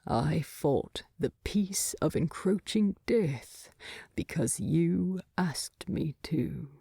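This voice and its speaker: A woman voicing a lovestruck male dwarf king in a storybook, with a gruff tenderness and breathy murmurs. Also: low voice, animated, extremely slow, warm tone, no echo, clean studio sound, full character voice. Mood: solemn, tender.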